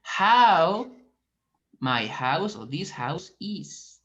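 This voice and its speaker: Slow, patient woman teacher on a call. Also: lowish voice, very animated, fairly bright tone. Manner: slow, encouraging, questioning. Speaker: teacher trainee giving feedback. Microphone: video call. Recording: dull video call audio.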